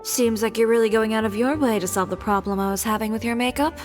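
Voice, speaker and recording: A woman's voice: a little high, steady tone, fast talker, soft background music, close to an everyday voice. An actress playing a wry, skeptical woman in a game, speaking with dry teasing.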